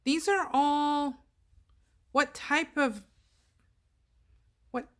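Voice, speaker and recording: Higher-voiced woman, teacherly and prompting. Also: steady tone, very slow. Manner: teacherly, prompting with questions and corrections. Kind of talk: casual questioning discussion.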